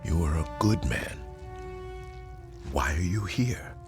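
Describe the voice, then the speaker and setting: An actor playing a cautious, guilt-ridden older warrior and father in a video game, solemn and deliberate in manner. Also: low voice, expressive, full character voice. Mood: moved. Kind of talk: dramatic video game voice acting. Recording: loud music behind. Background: game score music.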